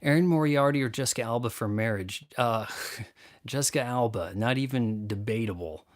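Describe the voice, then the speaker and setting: A man's voice: mid-range voice, normal speed. A man hosting a live stream, casually answering viewer questions in a blunt, opinionated, rambling way.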